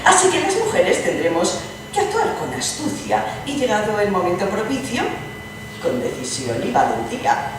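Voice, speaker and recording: An actress plays a worldly, arch princess in a radio-theatre reading. Her delivery is animated and theatrically drawn out, with condescending wit. She is distant from the mic in a very echoey, noisy room. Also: medium pitch, moderate pace. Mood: knowing caution. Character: witty, worldly aristocratic princess, sharp advocate for women. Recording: echoey hall from far off, noisy.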